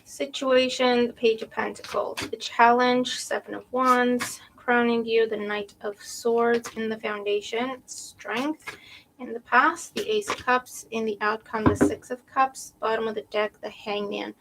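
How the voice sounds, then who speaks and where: Gravelly-voiced woman with a fading voice. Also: on the high side, fairly even intonation, unhurried, fairly bright tone, a little hiss or hum, low-quality recording. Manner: thinks aloud, checks in with "right?" and "okay?". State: losing her voice with a cold. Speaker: tarot reader. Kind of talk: live tarot reading to viewers.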